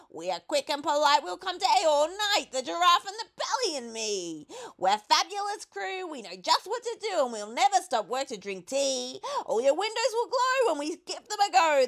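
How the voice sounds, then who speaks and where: A woman voicing a skinny, lively male monkey showman in a storybook, delivering bouncy sing-song patter. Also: extremely high pitch, voice pushed up, heavily acted.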